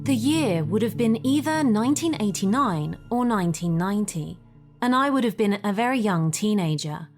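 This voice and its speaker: A woman with a British accent tells a first-person story from a script. Her voice sits at an everyday middle pitch for a woman. Music plays behind her narration.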